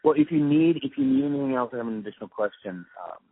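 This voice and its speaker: An older man with a husky voice chatting casually in an interview, easygoing and halting, restarting his sentences. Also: medium pitch, wildly swooping pitch, no echo. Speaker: interview guest, audio post-production supervisor. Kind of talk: casual phone interview chat. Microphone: phone or call line. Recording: tinny, dry phone-like line.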